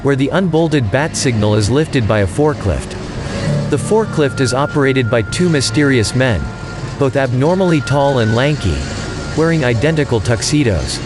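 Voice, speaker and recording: A man's voice: medium pitch, lively intonation, normal speed. A man delivering dramatic scripted narration as the narrator of a superhero audio drama. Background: loud music and effects.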